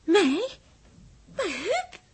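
High-voiced young crew woman for a sixties space radio serial: anxious yet teasing, hesitant, with very lively intonation. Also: drawn-out words, slight background noise, heavily acted. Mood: flustered. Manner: hesitant, breaking off and repeating words. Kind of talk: 1960s Dutch radio drama acting.

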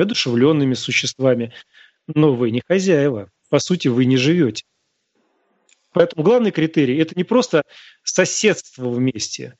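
A man's voice: no echo, lo-fi sound. Scornful, emphatic man lecturing on radio. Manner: emphatic and scornful, with sharp rises in pitch. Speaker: radio host answering listener questions. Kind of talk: live radio talk, lecturing.

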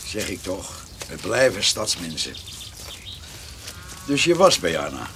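A man's voice: low-pitched, huge pitch swings, slow pace, full character voice. An actor playing a wry, stubborn countryman with a dry, blunt, teasing way of talking in a radio drama. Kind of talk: naturalistic radio drama acting. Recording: noisy radio play recording.

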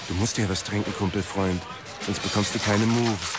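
Man acting as slick club bartender, low voice, pushy chummy slang, radio drama, loud music. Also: fairly even intonation, normal speed, natural voice. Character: slick, brusque male futuristic club bartender.

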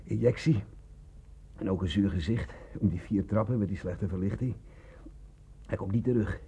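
A man in a radio drama playing a sick, wry young man in hiding, with a rough voice and an ailing delivery. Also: lowish voice, moderate pace, dark tone, a little hiss or hum, natural voice. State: coughing, ill with pneumonia. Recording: muffled, tinny lo-fi radio play recording.

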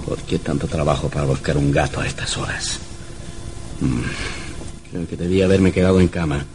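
An actor playing a young novelist husband in an old radio drama, earnest, curious and theatrical. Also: male voice, extremely low pitch, normal speed, background noise. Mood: puzzled.

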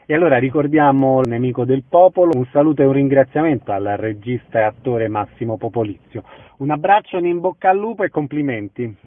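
A man's voice: medium pitch, expressive, fairly quick, narrow, tinny audio. A man hosting a radio theatre show, introducing guests and asking short questions. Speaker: radio host.

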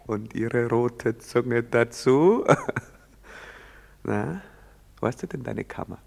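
An actor playing a leering, swaggering young soldier who mocks and taunts in a half-singing way. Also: male voice, medium pitch, animated, takes it slowly, vocal fry, a little mellow, heavily acted. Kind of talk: stage play recording. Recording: long hall reverb.

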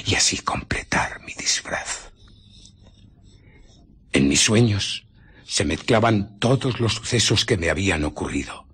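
An actor with a Castilian Spanish accent playing an agitated invisible scientist recounting his ordeal in a radio drama, moving theatrically between hushed murmurs and outcries. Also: sits low, husky, heavily acted. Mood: hushed, wary, then haunted.

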